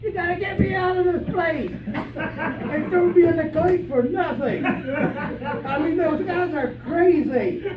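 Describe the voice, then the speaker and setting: A woman's voice: very high voice, takes it slowly, crowd noise. A woman voicing a melodramatic, pleading, wisecracking pet bird in jail, in an old-time radio style play. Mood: frantic pleading. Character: panicky wisecracking jailed pet bird, gangster slang. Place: live rehearsal before an audience. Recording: echoey room, distant mic, audience noise.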